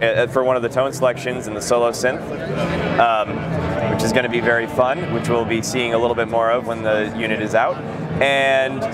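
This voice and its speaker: A man giving an informal, slightly hesitant product demonstration at a trade show booth, correcting himself as he goes. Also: mid-range voice. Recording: loud synth music and trade-show bustle.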